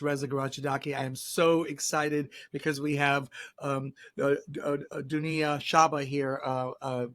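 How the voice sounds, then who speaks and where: A man's voice: fairly high pitch, a bit bright, no echo. A man hosting a podcast, enthusiastic and casual, leaning on many fillers as he introduces and questions his guest. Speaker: podcast host. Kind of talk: casual interview.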